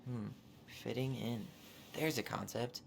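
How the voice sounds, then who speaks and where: Laid-back young man, mid-pitched, dry and guarded, naturalistic video game drama. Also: fairly even intonation, slow, vocal fry, slight background noise. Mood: low-key, hesitant. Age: young adult.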